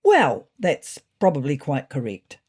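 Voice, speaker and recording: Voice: medium pitch, huge pitch swings, a little slow, breathy edge, exaggerated voice. A performer voicing a self-important, eager cat politician in an audio storybook. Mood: flustered bluster. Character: pompous, eager young cat politician, newly made leader.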